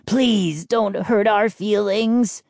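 A woman voicing a small male mischief gnome in a children's audiobook, raspy, solemn and pleading. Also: medium pitch, very slow, a little mellow, no room sound, clean studio sound, pitched above the performer's own voice. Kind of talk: audiobook character voice.

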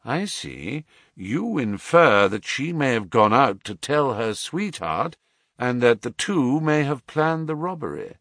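A man reading aloud, playing a calm, precise male detective who asks measured, probing questions. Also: mid-range voice, dry recording, studio quality. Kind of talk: audiobook reading.

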